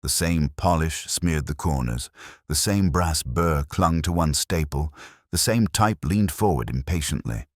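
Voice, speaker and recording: Very deep male mystery narrator. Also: lively intonation, measured pace, no echo, studio quality. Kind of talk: scripted audiobook narration of a mystery.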